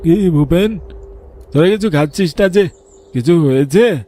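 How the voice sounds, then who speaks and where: A man's voice: fairly high pitch, lively intonation, a little slow, mellow. A man voicing a protective older uncle in a cartoon story, with a grave, admonishing manner. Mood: alarmed. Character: concerned older uncle, stern yet protective.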